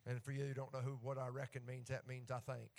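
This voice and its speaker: A man's voice: mid-range voice, little pitch movement, quick pace, a little mellow. A man with an Appalachian accent preaching a sermon in a folksy, joking way that rises to fervent emphasis when he reads scripture.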